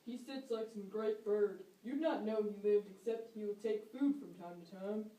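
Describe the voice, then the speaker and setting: A woman's voice: mid-range voice, normal speed, dark tone, close to an everyday voice. A woman playing a dutiful, plain-spoken male jailer of Puritan times, subdued and deferential, in an amateur play. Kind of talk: amateur stage-play acting. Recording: echoey room, distant mic.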